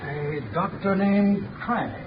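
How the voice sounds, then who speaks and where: An actor playing a calm, gallant gentleman detective who talks in a soothing, assured way, in 1930s radio acting. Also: high voice, slow, dull audio, noisy background. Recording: echoey 1930s radio recording from far off.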